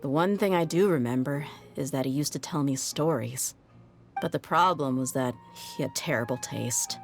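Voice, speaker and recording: A low-pitched, world-weary young woman mercenary. She speaks frankly, with dry wit, as if in video game story dialogue. Faint music plays behind her. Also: moderate pace. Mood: wry, reflective. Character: tough, jaded young woman desert mercenary.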